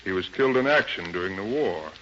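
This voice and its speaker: Bright-voiced formal attorney in vintage radio drama. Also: male voice, low-pitched, expressive, fast talker, lo-fi sound. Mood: courteous, official. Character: formal, businesslike attorney, courteous but firm. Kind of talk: 1930s radio drama acting and narration. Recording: bright, noisy, band-limited 1930s radio recording.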